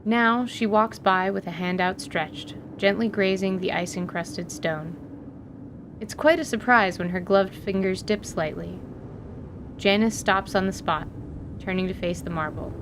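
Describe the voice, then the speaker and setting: A woman reading scripted story narration as the narrator of an audio drama. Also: a little slow. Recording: noisy recording with low rumble.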